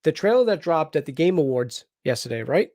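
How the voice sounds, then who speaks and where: A man's voice: a little high, brisk, no echo. A man hosting a casual live reaction chat, talking in a rambling way with lots of restarts. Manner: rambling, restarts often, animated. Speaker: host.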